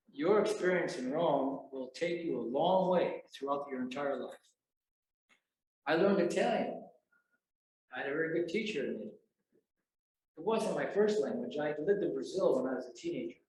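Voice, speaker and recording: A man's voice: fairly high pitch, a little mellow, a little hiss or hum. A man in his seventies, an alumnus photographer giving a chatty, self-deprecating speech to an audience at a university event. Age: 70s. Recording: very echoey hall, mic far off.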